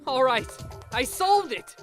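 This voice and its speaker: A woman's voice: high-pitched, expressive, takes it slowly. A woman voicing a casual, skeptical college-age young man in a visual novel fan dub, often sounding incredulous. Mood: excited. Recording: music behind the voice.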